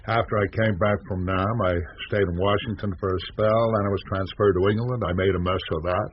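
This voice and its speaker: A man's voice: fairly low pitch, fairly even intonation, fairly quick, lightly acted. An actor playing a hearty, informal middle-aged newspaperman in a radio drama. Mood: frank, reminiscing. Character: hearty middle-aged newspaperman, settled after restless wandering years. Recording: muffled, noisy radio recording.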